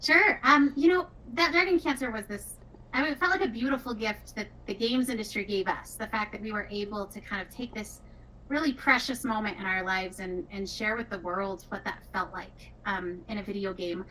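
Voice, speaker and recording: A woman's voice: medium pitch, normal speed, sharp tone. A woman talking casually on a panel as a game writer and director. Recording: distant mic with faint noise.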